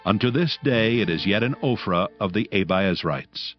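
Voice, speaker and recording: Low-voiced solemn male scripture narrator. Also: animated. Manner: solemn, measured. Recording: dull sound, faint music behind.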